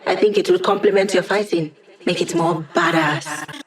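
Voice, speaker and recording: A woman's voice: fairly low pitch, moderate pace, lightly acted. A woman voice actor playing an upbeat, wisecracking young woman hacker in a video game, casual, playful and teasing. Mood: casual, helpful.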